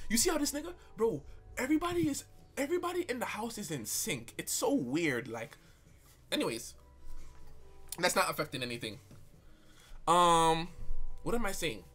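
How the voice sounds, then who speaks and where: Animated, slangy young male streamer. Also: high voice, normal speed, long pauses, soft background music. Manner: animated, slangy, reacts to chat comments. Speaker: livestreamer. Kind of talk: casual live stream chat.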